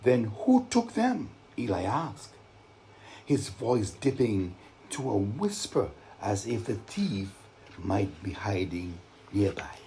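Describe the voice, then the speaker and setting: A man with a Vincentian accent telling stories to children, expressive and deliberate, with dramatic emphasis. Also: fairly low pitch, a little hiss or hum. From Saint Vincent and the Grenadines. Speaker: children's storyteller and author. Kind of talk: live storytelling to children.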